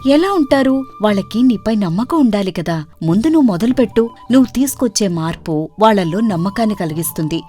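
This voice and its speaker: A woman voicing a loyal, encouraging young village woman in a moral story, with an animated questioning manner. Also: medium pitch, fairly quick, few pauses, natural voice. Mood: urging. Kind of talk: acted dialogue in a moral story. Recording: music bed under the voice.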